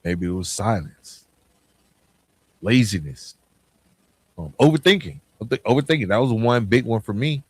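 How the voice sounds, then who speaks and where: A man's voice: fairly low pitch, expressive, normal speed, pauses often, slightly rough, no room sound. An American man hosting a podcast, delivering an informal motivational monologue that addresses the listener directly with rhetorical questions. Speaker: podcast host.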